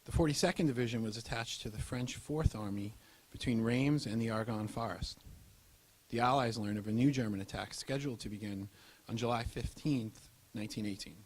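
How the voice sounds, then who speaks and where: A man's voice: medium pitch, brisk, darkish tone, rough recording. A man delivering scripted historical narration in the manner of a documentary. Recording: audible background hiss.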